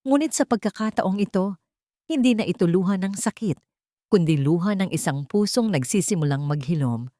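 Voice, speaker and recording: Filipino woman narrating a dramatic love story, lowish voice, really dry sound. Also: animated, normal speed, mellow, no echo.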